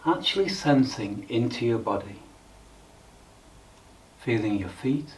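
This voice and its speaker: A man leading a guided meditation in a calm, measured, instructional way with frequent pauses. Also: medium pitch, distant mic, rough recording.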